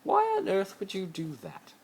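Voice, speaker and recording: A man's voice: sits high, wildly swooping pitch, moderate pace, darkish tone, pitched above the performer's own voice, heavily acted. A haughty, spoiled teenage wizard boy, acted out by a man in an amateur story reading. He pleads in a raised, whiny voice with big melodramatic swings. There is faint noise from a phone recording. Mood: indignant. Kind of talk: amateur dramatic reading of fan fiction.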